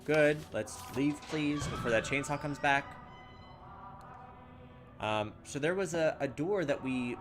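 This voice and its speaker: A man playing a horror game and reading its text aloud, thinking aloud and making fun of his own mistakes. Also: mid-range voice. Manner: self-mocking, thinks aloud, loses his way. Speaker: let's play gamer.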